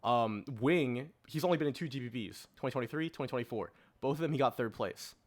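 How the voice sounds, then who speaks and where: A man's voice: mid-range voice, lively intonation, quick pace. A man co-hosting a podcast, talking informally in a casual panel discussion and explaining his views. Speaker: podcast co-host.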